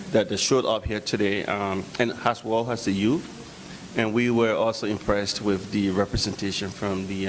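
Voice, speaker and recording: A man's voice: lowish voice, a little hiss or hum, poor audio. A man who chairs a community board, answering interview questions hesitantly and repeating words.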